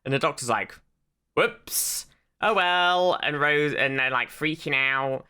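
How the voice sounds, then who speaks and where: A podcast co-host giving a casual, rambling retelling in an animated, informal way, with some swearing. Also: medium pitch, slow, clear, resonant tone. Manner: animated retelling, acts out quotes.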